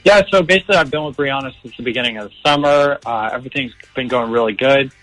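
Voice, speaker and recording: A man's voice: mid-range voice, fast talker, fairly bright tone, low-quality recording. A young man calling a radio show, telling a personal story in a casual, earnest way. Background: music.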